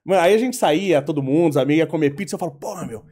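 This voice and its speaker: A man with a high, very lively voice chats on a podcast and rattles along quickly. He explains things by telling stories and acts out both sides of the dialogue. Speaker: podcast guest. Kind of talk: casual podcast chat.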